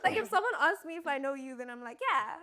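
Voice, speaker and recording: A woman guest actor on a podcast, soft-spoken and hesitant, giggling between short answers. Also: high-pitched, expressive, quick pace. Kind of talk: casual podcast chat.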